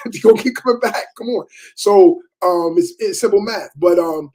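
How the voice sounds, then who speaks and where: A man's voice: high-pitched, moderate pace, a little mellow, husky, poor audio. A man in his thirties in casual chat about parenting, laid-back and repetitive, with many fillers.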